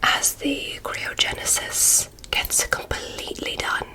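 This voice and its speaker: A woman playing a polite, curious female alien medical examiner in an ASMR roleplay, in a soft breathy whisper with formal, stilted phrasing. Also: low-quality recording. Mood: courteous, curious. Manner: formal, oddly stilted, deliberate, reassuring.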